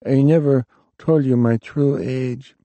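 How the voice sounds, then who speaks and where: A man's voice: medium pitch, fairly even intonation, slow, mellow, no echo, pitched above the performer's own voice. A man voicing a dying ancient warlock. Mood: weak. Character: ancient frail male warlock, dying, wry. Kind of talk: audiobook reading.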